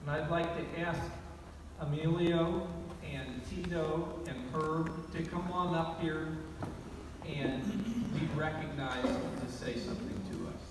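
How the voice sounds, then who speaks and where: A man's voice: on the high side, fairly even intonation, takes it slowly. Man storytelling in a very echoey hall. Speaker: award presenter. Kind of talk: storytelling award speech. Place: large banquet or awards hall over PA. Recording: very echoey hall, distant mic, noisy.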